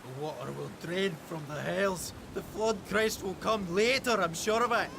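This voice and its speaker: An actor playing an earnest, decisive masked cowboy hero in a radio western. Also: male voice, high voice, normal speed, creaky, background noise. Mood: urgent, insistent. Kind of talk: old-time radio western acting.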